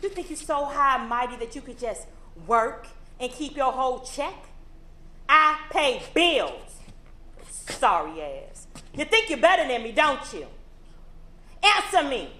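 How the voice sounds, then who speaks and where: A cruel, scornful mother, played by a woman on stage, swinging between mock piety and shouted abuse. Her voice sits high and sharp with wide swings. The sound is echoey, like a stage recorded from the house. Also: very animated, unhurried, exaggerated voice. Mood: raging. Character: harsh abusive mother, middle-aged, volatile and cruel. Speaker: stage actor. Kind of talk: recorded stage play performance.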